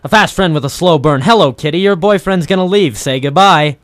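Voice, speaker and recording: An actor playing a cynical, tough private eye, deadpan and wry, in a noir radio drama. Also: male voice, fairly high pitch, brisk, no room sound, clean studio sound, natural voice. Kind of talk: 1940s film noir radio detective narration.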